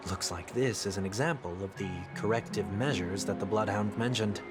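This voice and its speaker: A man acting a composed, deep-voiced young idealist. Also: over loud music, lightly acted. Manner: measured, formal, earnest. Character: composed, courteous, resolute young male idealist. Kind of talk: voiced game dialogue.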